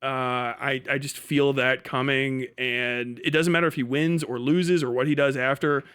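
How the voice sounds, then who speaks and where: A man's voice: medium pitch, steady tone, moderate pace, fairly bright tone, smooth. A man giving casual, opinionated political commentary as a livestream host, blunt and full of swearing, rambling and restarting mid-thought.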